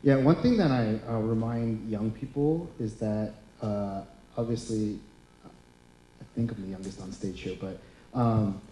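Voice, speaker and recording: A man in his thirties moderating a panel discussion, posing questions in an easy, friendly, conversational way. His voice is deeply mellow and dark. The room adds a roomy echo. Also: measured pace. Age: 30s. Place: lecture hall.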